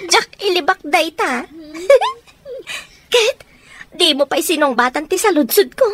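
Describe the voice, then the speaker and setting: An actress playing a nosy, teasing, gossipy best friend in an Ilocano radio comedy drama. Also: female voice, very high voice, big pitch swings, takes it slowly, fairly bright tone, a little hiss or hum, full character voice. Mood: teasing, gleeful.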